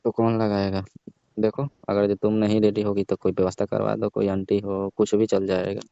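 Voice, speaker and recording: A man's voice: on the low side, flat delivery, moderate pace, gravelly, dark tone, no echo. A young man chatting casually on the phone, prodding with persistent, insinuating questions. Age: young adult. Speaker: caller in a comic phone call. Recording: dry, dull lo-fi phone-call audio.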